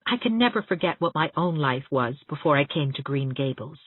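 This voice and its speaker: A woman voicing a spirited, affectionate young schoolteacher in an audiobook of her letters, with an expressive, whimsical delivery. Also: low voice, fairly quick, husky. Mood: wistful, fond. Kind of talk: audiobook reading of first-person letters. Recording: boxy room echo, muffled narrow-band sound.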